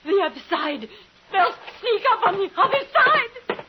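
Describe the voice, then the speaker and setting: An actress playing a terrified, pleading frontier wife in a 1950s radio western, her voice shrill and near hysterical. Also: big pitch swings, unhurried, heavily acted. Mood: panicked. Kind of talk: 1950s radio drama acting. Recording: noisy old 1950s radio recording.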